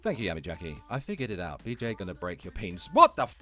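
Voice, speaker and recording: Foul-mouthed streamer, very deep woman's pitch, swinging wildly. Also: huge pitch swings, quick pace, bright, forceful tone, faint music behind. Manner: foul-mouthed, mock-indignant joking. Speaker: livestream host reacting to a read-out recap. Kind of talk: casual livestream reaction chat.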